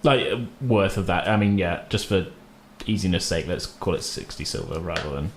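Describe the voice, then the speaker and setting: A man with a lowish voice runs a tabletop game as its referee. He explains things casually and with some hedging. The recording is roomy and echoey, with faint noise behind him. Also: lively intonation, moderate pace. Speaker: game master.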